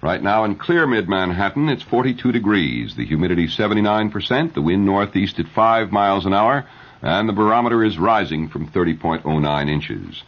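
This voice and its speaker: A man reading news and ads as a radio newscaster in a measured 1970s announcer style. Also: low voice, expressive. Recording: dull, lo-fi 1970s radio broadcast recording.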